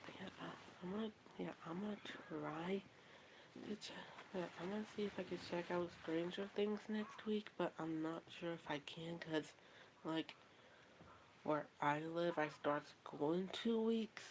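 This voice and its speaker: A woman's voice: on the low side, noisy background. A woman chatting casually on a livestream, often stopping and restarting her sentences. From Texas, USA. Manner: halting, repeats and restarts phrases often.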